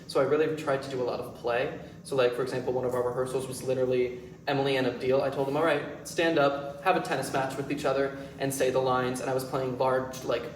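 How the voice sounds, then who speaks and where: A man speaking as a playwright and director at an audience Q&A, explaining things earnestly. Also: mid-range voice. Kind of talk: audience Q&A after a staged reading. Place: roomy indoor performance space. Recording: echoey room, distant mic, background noise.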